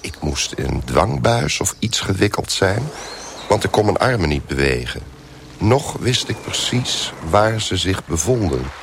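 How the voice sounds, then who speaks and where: Radio play lead: wry, hungover sad sack, low lively voice, literary storytelling, faint recording noise. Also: male voice, measured pace, exaggerated voice. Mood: bewildered. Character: hungover, bedraggled man recounting his misadventures. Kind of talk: first-person radio play narration.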